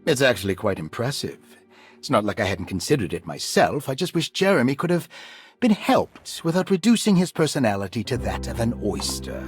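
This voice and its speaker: A snide theatrical asylum doctor, very swoopy pitch, music playing behind. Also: male voice, big pitch swings, fairly quick, breathy edge. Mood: sardonic. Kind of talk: cinematic horror game acting.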